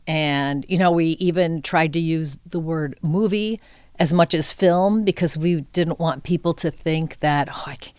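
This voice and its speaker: A woman's voice: deep voice, a little slow, darkish tone, clear, resonant tone, no room sound, studio quality. A middle-aged woman giving conversational interview answers as an arts director, reflective and candid. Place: inside a cinema theater space.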